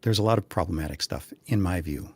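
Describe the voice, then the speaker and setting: A man talking casually on a discussion panel, earnest and halting as he searches for his words. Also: sits low, breathy edge, no echo. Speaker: podcast panelist.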